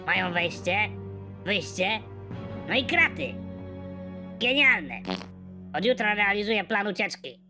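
A performer voicing a naive, hapless young male hero who narrates an animated comedy in a matter-of-fact, deadpan way. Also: medium pitch, slow pace, pauses often, music in the background. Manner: matter-of-fact, deadpan delivery of absurd jokes. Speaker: narrator and protagonist.

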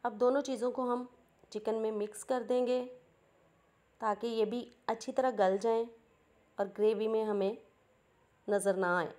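A woman's voice: fairly high pitch, steady tone, a little slow, pauses often, a little mellow. A woman with a Pakistani Urdu accent, hosting a cooking channel and patiently explaining each step of a home recipe.

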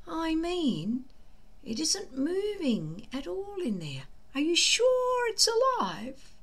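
A woman voicing an excitable, anxious and loyal young Viking boy in a storybook, with earnest emphasis. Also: very high voice, slow pace, voice pushed up, full character voice. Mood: doubtful, worried.

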